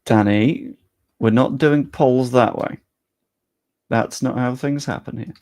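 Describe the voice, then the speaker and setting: A man's voice: mid-range voice, slow, darkish tone, no room sound. A young man live-streaming, chatting casually with his viewers and thinking aloud as he works on screen.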